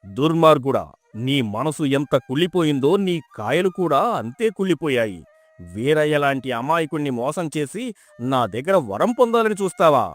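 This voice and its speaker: A man's voice: fairly high pitch, very animated, moderate pace, faint music behind. A man playing a stern, authoritative king in a dramatised folk tale, with a commanding, declamatory manner. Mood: angry.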